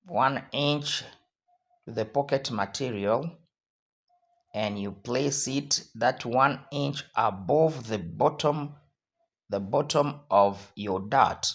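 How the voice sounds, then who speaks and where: Hoarse male tutorial host, slow and repetitive instructional delivery. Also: mid-range voice.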